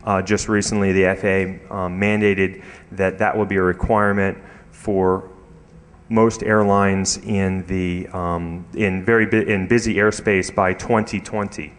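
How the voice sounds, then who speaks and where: Low-voiced man presenting in a reverberant hall. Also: flat delivery. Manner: halting, with frequent hesitations and repeated words. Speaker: conference speaker. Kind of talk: informal technical presentation with slides. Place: large indoor conference hall. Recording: echoey hall with long reverb.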